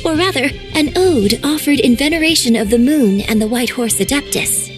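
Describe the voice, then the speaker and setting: A woman voices a courteous young lady with a fairly high voice. She lays out her explanations calmly and formally. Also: normal speed, loud music behind the voice, lightly acted. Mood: explaining. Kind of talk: video game voice acting.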